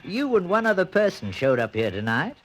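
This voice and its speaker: Smug rich schemer in old radio drama, teasing and theatrical, voice swinging all over the place. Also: male voice, high voice, very animated, a little fast. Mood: gleeful, menacing. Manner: theatrical, gleeful, taunting. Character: smug, teasing rich man running a sly game.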